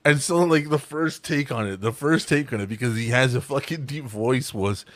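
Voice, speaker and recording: A man with a deep voice hosting a casual chat among voice actors, swearing freely, laughing a lot and talking with many fillers. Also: normal speed, no room sound. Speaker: podcast host.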